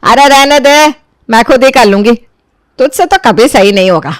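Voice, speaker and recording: A woman voicing a quick-tempered, domineering older mother-in-law in a story, nagging and accusing with sharp questions. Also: on the high side, animated, no echo, studio quality, pitched above the performer's own voice, lightly acted. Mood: nagging, irritated.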